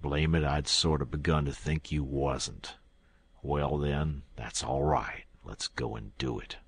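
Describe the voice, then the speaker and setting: A man acts out a brutal river gangster for an audiobook. His voice is deep, raspy and close, with dry sound. He drawls in folksy rustic dialect, gruff and sullen. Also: unhurried, dry recording. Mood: grimly menacing. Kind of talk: audiobook character acting.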